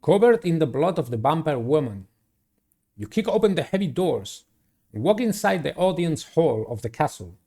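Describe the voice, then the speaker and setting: A man's voice: fairly high pitch, very animated, moderate pace, dark tone. A man reading a visual novel aloud as a playthrough, with dramatic, theatrical storytelling. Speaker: game player reading aloud.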